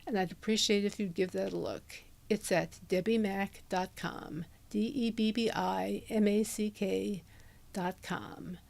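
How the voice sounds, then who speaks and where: A woman's voice: medium pitch, steady tone, measured pace, gravelly, a little mellow. A woman introducing a podcast episode as host, speaking into a handheld dynamic microphone. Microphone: handheld dynamic USB microphone.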